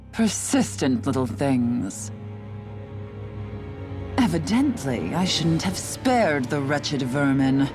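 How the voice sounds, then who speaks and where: Cruel game villainess, low voice that swoops around, slow n menacing, haughty, music behind. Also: female voice, very animated, exaggerated voice. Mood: cold contempt. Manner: slow, theatrical, menacing, archaic formal diction. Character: cruel, haughty female villain, coldly doting on a pet. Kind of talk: scripted video game voice acting.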